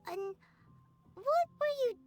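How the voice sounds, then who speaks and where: A very small, childlike game companion with a piercingly high, squeaky voice. The delivery is excitable and blunt, bouncing widely up and down. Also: female voice, slow, heavily acted. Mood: eager, chatty. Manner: refers to self in third person. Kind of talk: video game character acting.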